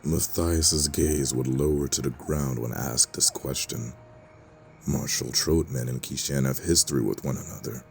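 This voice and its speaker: A man narrating a fantasy audio drama in a measured, solemn storytelling manner. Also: rumbling low, fairly even intonation, creaky, a little hiss or hum.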